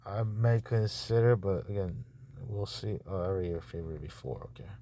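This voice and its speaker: A man talking casually and haltingly, half to himself, as he reviews auditions on a screen recording. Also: sits low, dark tone. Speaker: audiobook producer reviewing narrator auditions. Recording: clearly noisy background.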